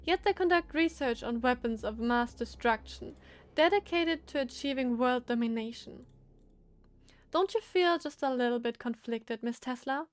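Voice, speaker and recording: A woman's voice: high voice, normal speed, natural voice. A woman voicing a cool, haughty woman in menswear from a visual novel, aloof and sardonic with a teasing streak. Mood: scornful. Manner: aloof, sardonic, feigned innocence.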